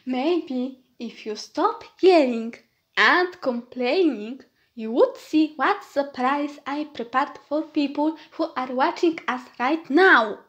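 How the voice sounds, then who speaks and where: A woman's voice: high voice, slow, fairly bright tone. A woman playing a patient, mildly exasperated female storyteller.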